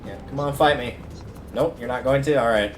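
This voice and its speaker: A man's voice: mid-range voice, a little fast, slight background noise. A man giving casual live commentary on a video game, thinking aloud in colloquial language. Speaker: game livestreamer. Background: video game music.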